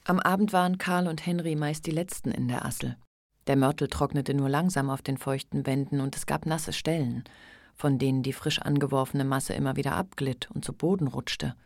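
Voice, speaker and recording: A man narrating a radio play in a measured, literary, scripted style. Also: medium pitch, fairly even intonation, vocal fry, no echo, clean studio sound.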